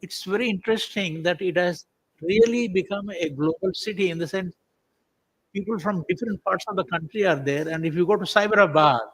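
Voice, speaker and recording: A man with an Indian accent and a fairly high voice talks as a panelist at a live event. He reminisces conversationally, as in an informal chat. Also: a little fast, a bit bright, slightly rough, rough recording.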